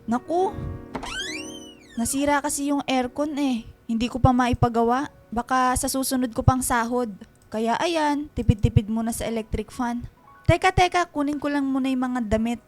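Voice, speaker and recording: A woman's voice: high-pitched, normal speed, faint music behind, close to an everyday voice. A woman playing a patient, put-upon married daughter, polite and apologetic, in a radio drama. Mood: flustered, apologetic.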